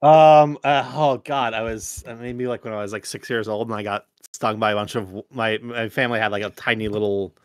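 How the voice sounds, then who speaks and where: A young American man telling anecdotes in a casual group chat, halting and rambling, with some swearing. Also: on the low side, fairly even intonation, clear, resonant tone, no echo. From United States.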